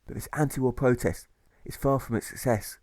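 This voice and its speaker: A man reading a scripted rhyming audiobook in rhythmic, measured phrases, landing on each rhyme. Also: mid-range voice, steady tone, creaky, a little mellow, no room sound. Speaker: author reading own audiobook.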